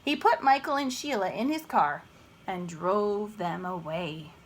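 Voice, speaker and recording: A woman reading a children's story aloud in an expressive, unhurried way, with chatty asides. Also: medium pitch, slight background noise.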